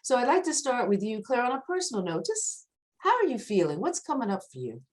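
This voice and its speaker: A woman's voice: a little high, wildly swooping pitch, a little fast. Enthusiastic woman hosting an interview. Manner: enthusiastic, encouraging. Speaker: host.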